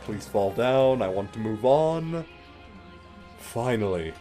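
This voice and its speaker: A man's voice: medium pitch, expressive, slow pace, dark tone. A man giving casual, explanatory commentary as he plays a game, with a wry way of talking. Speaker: let's play host playing the game. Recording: game music behind.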